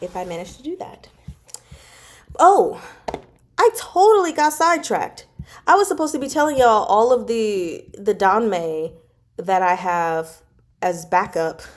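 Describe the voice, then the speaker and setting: A woman chatting casually as a livestream host, informal and expressive, drawing out words for emphasis as she answers viewers. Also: medium pitch. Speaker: host of a book club livestream. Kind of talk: casual chat, reading and answering viewer comments.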